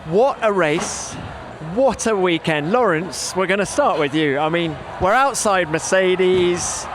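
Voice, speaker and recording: A man's voice: sits high, slow pace, background noise. A man presenting a motorsport podcast as its host. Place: outdoors at race paddock.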